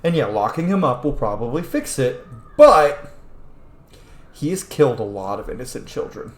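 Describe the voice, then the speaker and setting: A man's voice: medium pitch, sing-song, moderate pace. Echoey, blunt male gamer commentating. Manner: blunt, profane. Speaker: gamer hosting a playthrough. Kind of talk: casual gaming commentary. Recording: roomy echo, faint noise.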